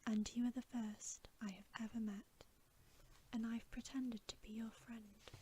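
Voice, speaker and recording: A woman whispering the part of a timid, remorseful male faun in a storybook, hushed and politely old-fashioned. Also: a little high, a little hiss or hum, rough recording. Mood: guilty confessing. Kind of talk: whispered ASMR storybook reading.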